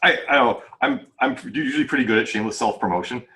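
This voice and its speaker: A man's voice: mid-range voice, fast talker, sharp tone. A man chatting casually and informally on a podcast as an independent author and host, using slangy, chatty wording. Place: video call group podcast.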